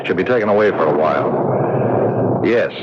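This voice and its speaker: Stern, guarded prison warden, deep register, official air, dull noisy 1970s radio play. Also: male voice, lively intonation, normal speed. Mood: stern, businesslike. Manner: authoritative, guarded, defensive. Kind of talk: 1970s radio drama acting. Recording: very noisy, muffled old radio recording.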